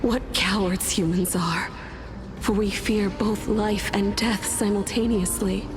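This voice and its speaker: A woman playing a courteous, world-weary dead scholar in a fantasy game, with a breathy, resigned and philosophical delivery. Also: mid-range voice, steady tone, takes it slowly, lightly acted. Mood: bitter, weary. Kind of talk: dubbed fantasy game dialogue. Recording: orchestral game music behind.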